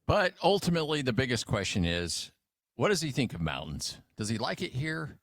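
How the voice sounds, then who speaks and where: A man hosts a casual sports radio interview in a mid-pitched voice. His intonation swings in a lively way, and the sound is close and dry like a studio. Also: moderate pace, no echo.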